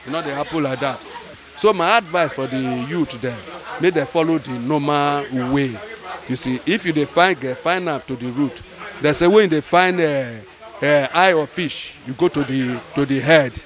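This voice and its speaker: A man's voice: fairly high pitch, normal speed, crowd noise. An older man with a Nigerian accent, answering a street interview in a rambling way with pidgin phrases, into a handheld mic outdoors. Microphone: handheld mic held by interviewer.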